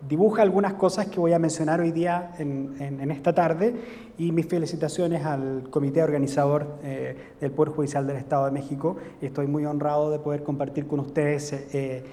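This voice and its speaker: A man's voice: a little high, fairly even intonation, moderate pace, mellow. Chilean man lecturing in a big hall. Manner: hesitant, frequent filler sounds. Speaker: guest lecturer. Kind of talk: academic lecture. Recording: reverberant hall.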